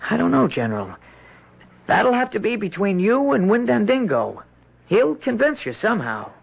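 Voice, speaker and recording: Earnest young soldier, high lively voice. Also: male voice, vocal fry, breathy edge, heavily acted. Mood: quietly certain. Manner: folksy, naive, halting. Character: earnest, honest young soldier lad, simple and stubborn. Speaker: actor in a radio drama. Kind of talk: 1970s radio drama acting. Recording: dull lo-fi 1970s radio recording.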